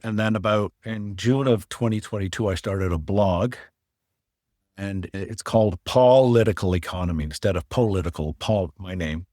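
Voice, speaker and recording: A man's voice: deep voice, normal speed, dark tone, dry recording, clean studio sound. A middle-aged man raised in Canada, a former fund manager and author, talking plainly as a podcast guest. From born in Wales, raised in Canada. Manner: plain-spoken, explains step by step.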